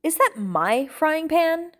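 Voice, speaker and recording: An exasperated bartender played by a woman narrator leans on a captive in a storybook thriller. He is menacing and commanding, with slow, deliberate phrasing. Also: sing-song. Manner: low, threatening, slow deliberate delivery.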